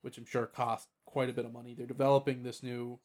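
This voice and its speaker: Mid-pitched man, hesitant and measured. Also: flat delivery, darkish tone. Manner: hesitant, stammered restarts, hedging and measured. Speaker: podcast co-host. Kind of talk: casual discussion.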